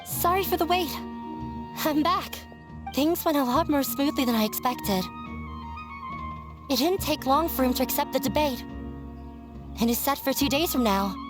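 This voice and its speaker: A woman's voice: sits high, lively intonation, normal speed, pauses often, over music. An actress voicing a polite young woman in a video game.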